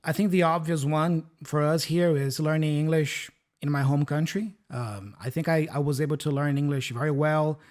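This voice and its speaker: A man's voice: fairly high pitch, fairly even intonation, normal speed, darkish tone. A man in his thirties from Brazil with a Brazilian Portuguese accent, speaking English as a second language as a podcast co-host. He explains words in a friendly way and keeps checking in with his listener. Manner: friendly, often checks in with "right?" and "yeah?". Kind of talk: casual teaching chat.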